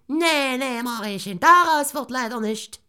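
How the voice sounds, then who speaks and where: A man voicing a fussy, hoarding female mouse in a storybook, in a squeaky falsetto that scolds in a sing-song way. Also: animated, measured pace, bright, forceful tone, faint music behind, full character voice. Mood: scolding.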